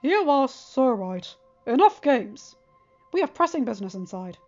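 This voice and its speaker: Lady doing a cocky chaos spirit guy, teasing and drawly, kinda muffled with soft music. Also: sits high, big pitch swings, voice pushed up. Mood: smug. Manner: teasing, drawn-out, loud. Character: mischievous male chaos spirit, smug trickster. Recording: slightly muffled with faint music.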